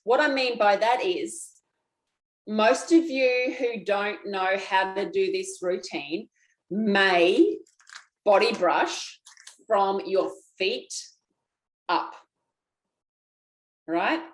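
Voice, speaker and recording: A chatty, folksy woman leads viewers through a self-massage routine, speaking at an unhurried pace. Her voice bounces around an echoey room. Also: mid-range voice. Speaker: lymphatic drainage instructor. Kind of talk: guided self-massage class.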